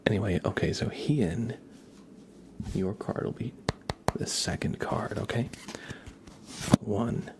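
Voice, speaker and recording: A young man giving a soft, whispered ASMR tarot reading while chatting in a relaxed, rambling way with viewers. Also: lowish voice, takes it slowly, creaky, long pauses, background noise.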